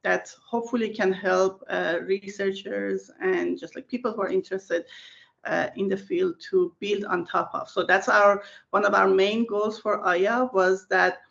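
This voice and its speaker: Gravelly-voiced woman on a dull call line. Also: mid-range voice, fairly even intonation, measured pace, a bit bright. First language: Persian. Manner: thoughtful, many fillers and restarts. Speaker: research scientist guest. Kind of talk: casual interview answers.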